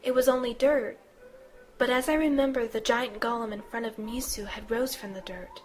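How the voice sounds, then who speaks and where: A woman's voice: on the high side, steady tone, moderate pace, natural voice. A woman playing an anxious teenage schoolgirl who narrates and acts in an amateur audio drama, earnest and dramatic. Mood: uneasy narrating.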